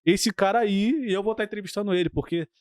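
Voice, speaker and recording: Cheerful Brazilian male co-host, higher voice, dry close sound, eager and jokey. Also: fairly even intonation, quick pace, clean tone, no echo. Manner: enthusiastic, laughing, teasing. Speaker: podcast co-host. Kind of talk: casual chat.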